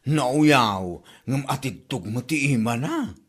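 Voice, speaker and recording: Man acting resentful laundry worker, Ilocano accent, gossipy, exclamatory, radio comedy drama, mid-pitched. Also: lively intonation, slow pace, slightly rough. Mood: gossipy, scornful.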